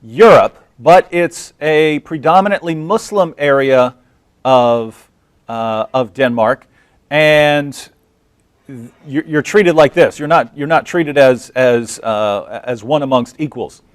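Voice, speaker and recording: A man's voice: medium pitch, slow pace, no room sound. A man interviewing a guest, stammering and repeating words as he clarifies. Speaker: interviewer, channel host. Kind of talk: interview questions.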